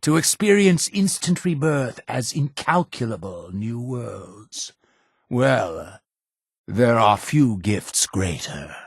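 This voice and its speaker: An actor playing a zealous, imperious cult leader in a video game, with a gravelly, husky voice and solemn, grandiose delivery. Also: male voice, mid-range voice, sing-song, takes it slowly, no echo, studio quality, heavily acted. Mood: grave, resolute. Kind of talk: theatrical video game dialogue.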